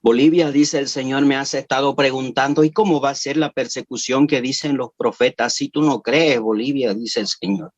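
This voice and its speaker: A man's voice: mid-range voice, steady tone, normal speed, hardly any pauses, no room sound. A man preaching a prophecy, declaiming lines as if quoting God and repeating a refrain after each one. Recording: dull lo-fi dry recording.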